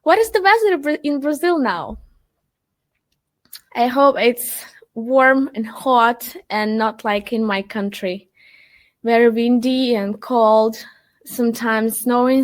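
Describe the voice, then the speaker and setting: Upbeat high-voiced young woman hosting a webinar. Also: slow. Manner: upbeat and chatty, greets viewers by name. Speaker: webinar host, marketing manager. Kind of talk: live webinar presentation, reading chat greetings.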